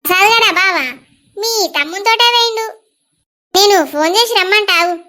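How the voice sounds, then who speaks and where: A woman's voice: very high-pitched, brisk, pushed and bright. A woman with a Telangana accent acting an affectionate younger sister in a village family drama, with a soothing, pleading way of talking. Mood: protective, hospitable.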